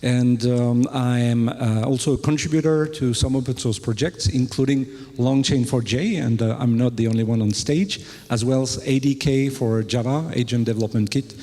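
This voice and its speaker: A man introduces himself on a tech panel, speaking fluently and plainly in a warm, relaxed voice. His words echo with a long reverb through a large auditorium. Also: medium pitch, normal speed, few pauses. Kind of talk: conference self-introduction.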